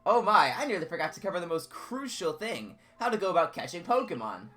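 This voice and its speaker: A man voicing a fussy, excitable male scholar who speaks in polite, old-fashioned phrases and swings high with alarm. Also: fast, soft background music, full character voice. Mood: explaining.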